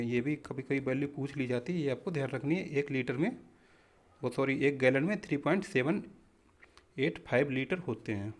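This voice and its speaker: A man teaching exam practice questions, explaining step by step in an instructional way and often addressing listeners as friends. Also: mid-range voice. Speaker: exam-prep physics teacher.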